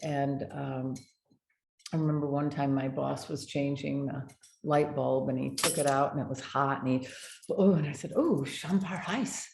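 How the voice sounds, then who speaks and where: An older American woman with a low voice tells animated stories about her work as a dental hygienist. She speaks in a casual, conversational way. The room sounds echoey, and faint noise sits underneath. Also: normal speed, creaky. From United States. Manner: animated anecdotes with laughter. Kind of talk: casual interview storytelling.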